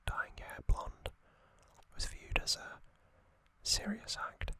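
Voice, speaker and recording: An ASMR host whispering slowly, rambling through trivia with fillers and musings. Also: bright, forceful tone. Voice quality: unvoiced whisper.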